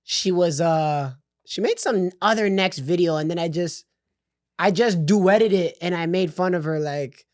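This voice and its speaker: A man's voice: high voice, unhurried, no room sound, studio quality. Toronto man telling a casual story. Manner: storytelling, laughs through anecdotes. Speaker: podcast co-host. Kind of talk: casual chat.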